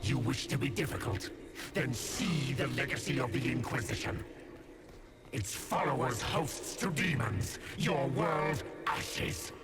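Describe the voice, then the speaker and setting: An actor voicing a menacing, shape-stealing demon that speaks slowly and tauntingly in a video game. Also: male voice, mid-range voice, animated, over loud music, close to an everyday voice. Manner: slow, taunting, commanding. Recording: loud score behind voice.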